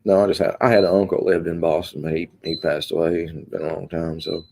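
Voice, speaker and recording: A man's voice: deep voice, level tone, fairly quick, vocal fry, a little mellow. A man from Kentucky with a Kentucky accent hosts a rambling, casual live stream and reads out chat comments, with lots of ums. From Kentucky, USA. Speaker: livestream host.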